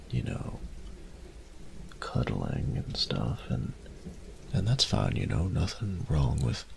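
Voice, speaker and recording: Man acting as a tender young male roommate, romantic audio roleplay, heavy vocal fry, lively intonation, breathy murmur, faint rain and thunder behind. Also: very deep voice, slight background noise, full character voice, poor audio. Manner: soft breathy murmurs, teasing pet names.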